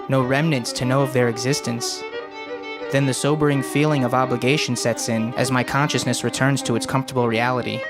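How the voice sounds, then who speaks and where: A young man narrating a documentary in a measured, earnest, scripted way. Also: mid-range voice. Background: violin music.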